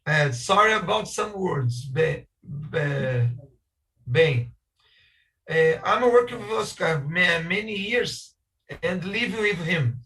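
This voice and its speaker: A man's voice: fairly high pitch, expressive, takes it slowly, pushed and bright, far from the mic, rough recording. A man from Brazil with a Brazilian Portuguese accent, speaking English as a second language on a video call, searching for words with many hesitations and restarts. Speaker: architect guest speaker. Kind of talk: halting webinar talk in a second language.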